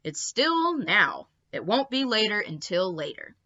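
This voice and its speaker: Woman as a proud, bright stegosaurus professor. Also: mid-range voice, sing-song, a little slow, highs cut off, exaggerated voice. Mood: reassuring. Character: proud, cheerful male stegosaurus professor inventor.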